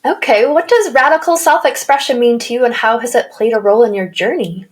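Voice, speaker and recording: A woman's voice: on the high side, brisk. A woman hosting a podcast, asking interview questions and offering short, supportive affirmations. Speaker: podcast host.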